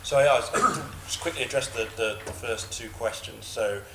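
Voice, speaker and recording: A man on an expert panel, answering questions in a halting way with many repeated words. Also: medium pitch, vocal fry, sharp tone. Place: large parliamentary committee room. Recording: echoey room with background noise.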